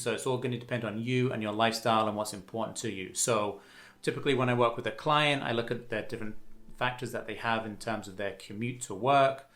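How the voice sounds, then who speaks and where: A man's voice: lowish voice, fairly bright tone. A man in his forties from England, with an English accent and a slight Canadian twang, giving casual, friendly advice to camera as a real estate broker. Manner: friendly and chatty, with some fillers.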